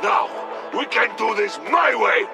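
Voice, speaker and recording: A man's voice: high voice, expressive, unhurried, vocal fry, full character voice. A man playing a hulking, vengeful brute villain in a video game, menacing, contemptuous and grandiose. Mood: eager. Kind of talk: dramatic video game acting. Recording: loud game music behind the voice. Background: loud music.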